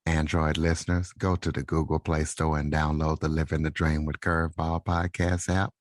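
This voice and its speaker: A man with an extremely deep voice hosts a podcast interview. His intonation is flat and even as he puts questions to a guest. The recording is dry and close, free of echo. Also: normal speed. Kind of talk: interview hosting.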